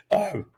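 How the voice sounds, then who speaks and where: An older man raised in Kenya whose first language was Swahili, telling lively stories as an interview guest, chuckling and restarting sentences. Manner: animated, chuckling, many restarts. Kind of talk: storytelling interview talk.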